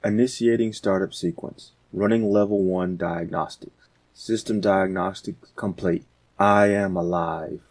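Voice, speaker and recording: Man acting out a deep robot computer announcer. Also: fairly even intonation, measured pace, a little mellow, no room sound. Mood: flat, deliberate. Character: robot computer intoning startup status reports.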